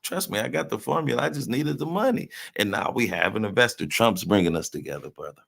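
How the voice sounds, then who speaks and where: Man, streamer host, low gravelly voice with lively swings, joking casual chat with viewers. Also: fast. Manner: loose and joking, talks to viewers in chat by name. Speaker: livestream host. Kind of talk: casual live-stream commentary, reading chat.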